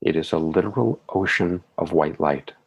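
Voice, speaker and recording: A man leading a guided meditation in a soothing, measured way, with calm phrasing and gentle affirmations. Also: sits low, breathy edge, highs cut off.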